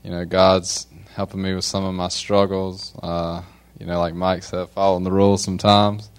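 A man from North Carolina, giving a halting, reflective personal testimony. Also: low voice, little pitch movement, smooth.

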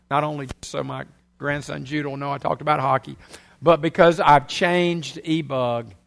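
Older pastor with a gravelly voice. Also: male voice, a little high, fairly bright tone. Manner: folksy, chatty storytelling with many uhs. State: head cold. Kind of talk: sermon.

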